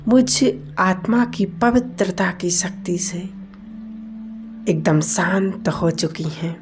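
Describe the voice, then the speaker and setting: Slow, low-pitched woman guiding meditation. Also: noisy background. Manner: slow, deliberate phrasing with pauses. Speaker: guided meditation leader. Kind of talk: guided meditation narration. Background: meditation music.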